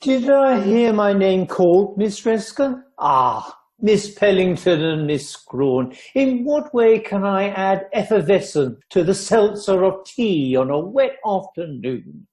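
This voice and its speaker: A man's voice: sits high, big pitch swings, slow, few pauses, a little mellow, full character voice. A man plays a young Edwardian man of society in a radio play. He is mocking and laconic, turning florid phrases with flippant ease. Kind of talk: amateur radio play acting.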